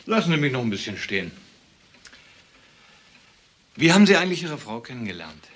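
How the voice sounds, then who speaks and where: An actor playing a dryly musing police detective who probes and thinks aloud in a crime radio play. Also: male voice, mid-range voice, very animated, brisk, fairly bright tone. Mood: probing. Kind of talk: German crime radio drama acting. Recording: faint background noise.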